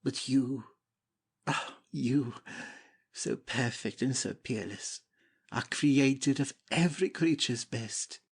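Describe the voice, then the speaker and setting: A man acts a lovestruck young prince in a Shakespeare audiobook. His mid-pitched voice is husky and breathy around the edges, and he declaims his devotion with fervent, gallant humility. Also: slow, heavily acted. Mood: adoring. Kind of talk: dramatic Shakespeare audiobook reading.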